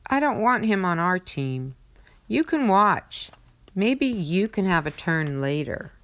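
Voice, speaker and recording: Lady reading as a school kid in a storybook, pitched up, simple kiddie phrasing. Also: big pitch swings, slow pace, a bit bright, full character voice. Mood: dismissive. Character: schoolchildren speaking up in a picture book.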